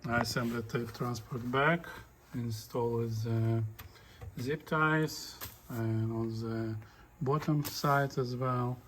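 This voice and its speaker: A man giving a casual hands-on demonstration, murmuring halting step-by-step remarks as he works, recorded on a phone. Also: mid-range voice, steady tone, fairly bright tone, slight background noise. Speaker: hobbyist demonstrating a tape deck repair. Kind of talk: casual hands-on repair demonstration, talking while working. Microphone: phone held in front of him.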